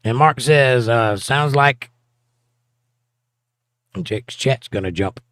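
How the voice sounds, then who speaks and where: A man's voice: medium pitch, takes it slowly, fairly bright tone, no echo. A man with a Southern accent hosting a livestream, reading viewer comments in a casual, teasing way and chuckling often. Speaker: livestream host reading chat. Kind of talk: casual chat.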